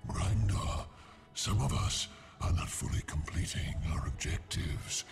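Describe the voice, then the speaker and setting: A man playing a menacing robot villain commander in a video game, imperious, sneering and deliberate. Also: rumbling low, big pitch swings, gravelly, soft background music, exaggerated voice. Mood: menacing displeasure.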